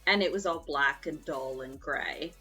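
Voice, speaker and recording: A young woman streamer chatting casually and rambling, her voice stuffed up and gravelly from congestion. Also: deep voice, a little slow, bright, forceful tone, faint music behind. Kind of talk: casual chat while sewing.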